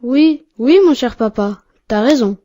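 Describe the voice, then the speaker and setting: Cartoon boy, played by a woman: devout, sensitive, well-mannered, earnest; highish voice with a mellow tone. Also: measured pace, close to an everyday voice. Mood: contrite. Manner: earnest, courteous. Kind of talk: didactic cartoon dialogue.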